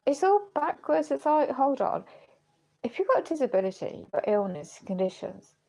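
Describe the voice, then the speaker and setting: A woman livestreaming in a rambling, halting way, earnest and questioning, her voice going as if she is unwell. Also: high voice, big pitch swings, creaky, warm tone, rough recording. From United Kingdom. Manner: halting, searching for words. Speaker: live streamer. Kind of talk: rambling personal livestream talk.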